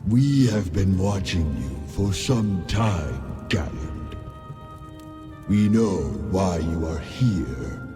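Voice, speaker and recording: Deep, slow ancient guardian spirit. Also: male voice, animated, music in the background, exaggerated voice. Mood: grave, commanding. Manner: grave, formal, deliberate. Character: solemn ancient guardian spirit, a stern protector. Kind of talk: fantasy game cutscene acting.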